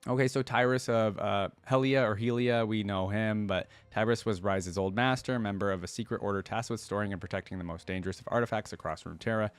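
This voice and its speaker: A man's voice: lowish voice, steady tone, fast, hardly any pauses, smooth, no room sound, faint music behind. A man giving casual commentary as he reads aloud and reacts, hosting a game reaction video.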